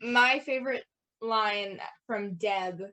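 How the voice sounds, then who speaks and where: A woman's voice: mid-range voice, slow pace, sharp tone, a little hiss or hum. A woman podcast cast member chatting casually, with a giggly manner. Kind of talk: casual group chat.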